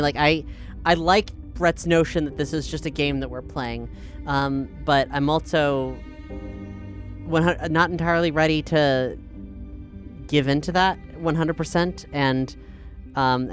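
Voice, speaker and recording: Male game player, mid-pitched, casual and hesitant discussion, soft music underneath. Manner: hesitant, thinking aloud. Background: faint music.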